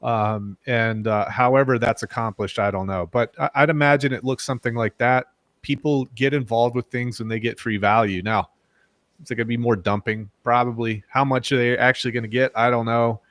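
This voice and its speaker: A man's voice: medium pitch, steady tone, a little fast, a bit bright, no echo. A man, a guest on a crypto livestream, talking casually and speculating, with frequent restarts. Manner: rambling, speculative, many restarts. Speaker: guest, crypto project builder. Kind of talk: casual crypto podcast chat.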